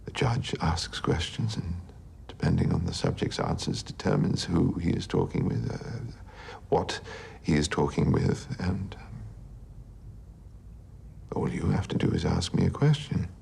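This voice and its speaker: An actor playing a hesitant, cerebral wartime codebreaking genius in a film drama, speaking in a halting, precise, pedantic way. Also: male voice, low-pitched, very animated, vocal fry, a little mellow, slight background noise, full character voice. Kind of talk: period film drama dialogue.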